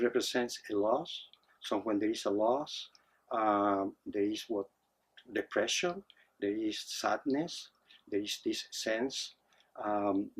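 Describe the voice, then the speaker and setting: Husky low-voiced man. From Argentina. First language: Spanish. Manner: measured, hesitant, with frequent fillers. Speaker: psychotherapist. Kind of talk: introducing his therapy practice to camera.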